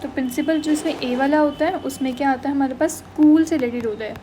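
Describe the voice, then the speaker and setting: A woman's voice: high-pitched, fast talker, noisy background. A young woman teaching English vocabulary in an exam-prep class, mixing Hindi and English, often checking in with "okay" and "done". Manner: mixes Hindi and English, frequent "thik hai" and "done" check-ins. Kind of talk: online class explaining vocabulary.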